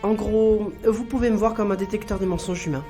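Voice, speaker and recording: A woman's voice: medium pitch, steady tone, brisk, mellow, soft background music, lightly acted. An actress playing a self-assured, composed woman profiler in a French audio drama, formal and assertive.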